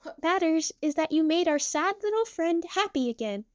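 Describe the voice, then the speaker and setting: A woman's voice: high-pitched, lively intonation, moderate pace, darkish tone, pitched above the performer's own voice. A woman playing a wise, reassuring girl from a dream world, speaking with assurance.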